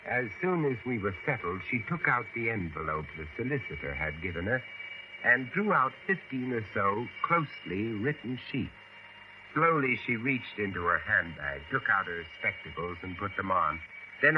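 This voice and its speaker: An actor playing a grave, solicitous narrator, measured and gentle, in a 1970s radio drama. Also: male voice, fairly low pitch, very animated. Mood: measured recounting. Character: grave, solicitous male narrator, friend of a widow. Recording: muffled, noisy old radio broadcast.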